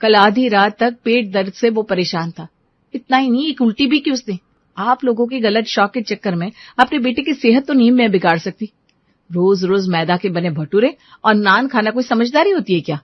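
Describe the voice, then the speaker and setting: A woman's voice: on the high side, expressive, moderate pace, no room sound, highs cut off. A woman voicing a strict, fed-up young daughter-in-law, firm and lecturing, in a family story.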